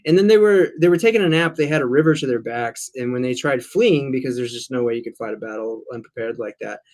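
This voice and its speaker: A man chatting casually on a livestream panel, telling animated stories with asides. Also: mid-range voice, fast talker. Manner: chatty storyteller, animated retelling. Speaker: livestream co-host. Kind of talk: casual group chat.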